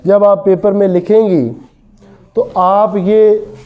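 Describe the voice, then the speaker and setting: A man's voice: high voice, level tone, slow pace. A man with a Pakistani accent giving an explanatory classroom law lecture, switching between languages mid-sentence. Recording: dull lo-fi audio.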